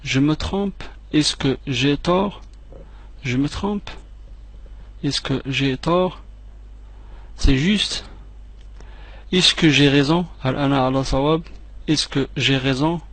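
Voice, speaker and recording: Gravelly-voiced man drilling French phrases. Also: fairly high pitch, a bit bright, no room sound. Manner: slow, clearly separated repetitions, quick asides in another language. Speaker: French teacher. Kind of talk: repeat-after-me language drill.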